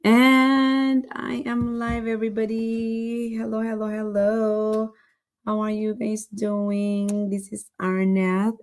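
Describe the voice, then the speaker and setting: A woman with a raspy, somewhat hoarse voice gives an earnest motivational talk on a live stream, repeating phrases for emphasis. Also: medium pitch, darkish tone. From lives in San Francisco, California. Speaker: live-stream host and motivational business mentor.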